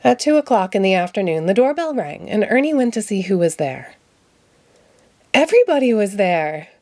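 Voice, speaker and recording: A woman's voice: medium pitch, sing-song, normal speed, warm tone, no room sound. A woman narrating a children's picture book as a read-aloud. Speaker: storybook reader.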